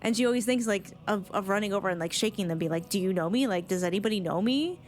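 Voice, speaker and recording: A woman's voice: mid-range voice, fast. A woman giving casual live commentary on a video game, emotive and informal, rambling and sweary. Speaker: streamer reacting to game cutscenes.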